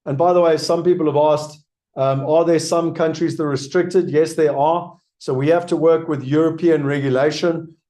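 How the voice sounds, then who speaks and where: South African male host, mellow slightly higher voice, emphatic live Q&A pitch. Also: steady tone, normal speed. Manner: emphatic, repeats key points, frequent ums. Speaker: webinar host answering questions. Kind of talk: live webinar Q&A sales pitch.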